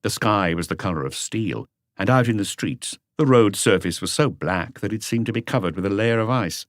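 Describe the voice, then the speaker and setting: A man's voice: on the low side, animated, normal speed, dry recording, clean studio sound. A man reading a crime novel as a scripted audiobook narration.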